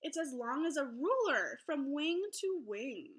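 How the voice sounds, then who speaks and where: A woman teacher reading a children's book aloud in an expressive way, pausing to explain things simply to young listeners. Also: sits high. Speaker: elementary school teacher.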